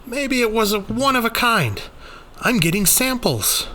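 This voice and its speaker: A man playing an eager, stubborn amateur monster investigator in an audio story, excited and incredulous. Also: extremely high pitch, measured pace, pitched above the performer's own voice, exaggerated voice. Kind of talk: acted dialogue in an audio story.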